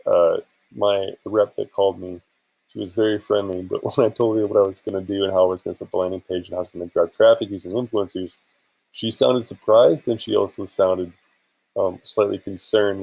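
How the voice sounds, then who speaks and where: A man's voice: sits low, steady tone, brisk, vocal fry, darkish tone, low-quality recording. A man chatting casually in an informal, rambling and hesitant way for a screen-share vlog, sounding a bit tired. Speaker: vlogger and affiliate marketer.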